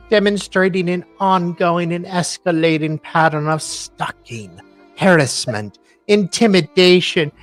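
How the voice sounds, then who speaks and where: A man co-hosting a dramatic reading and commentary, with a theatrical, mocking way of talking. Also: sits high. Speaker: co-host.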